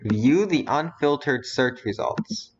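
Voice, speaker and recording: A man's voice: mid-range voice, lively intonation, slow. A teenage boy streaming, muttering to himself as he browses and reads titles aloud. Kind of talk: casual live-stream commentary. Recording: dull lo-fi audio with faint noise.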